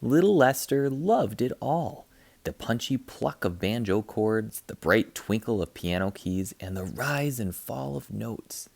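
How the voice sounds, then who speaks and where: Man, children's read-aloud, expressive narration, gravelly edge, close dry sound. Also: mid-range voice, unhurried, no echo. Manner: animated, dramatic emphasis. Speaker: teacher reading a picture book aloud.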